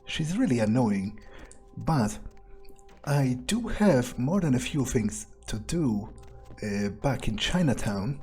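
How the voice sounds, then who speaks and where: A man's voice: mid-range voice, very animated, slow pace, a little mellow, soft background music. A man improvising an in-character gameplay monologue as a sardonic vampire, musing hesitantly and casually to himself with dry, morbid humor. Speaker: let's player role-playing a character.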